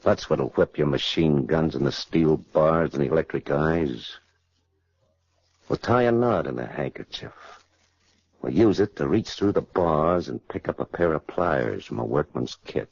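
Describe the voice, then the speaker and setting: A man's voice: very deep voice, animated, unhurried, creaky, a little mellow, husky, heavily acted. An actor playing a cocky, sneering convict in slangy tough-guy talk, in a 1940s radio crime drama. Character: tough, cocky male convict plotting a prison break. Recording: noisy, muffled 1940s radio recording.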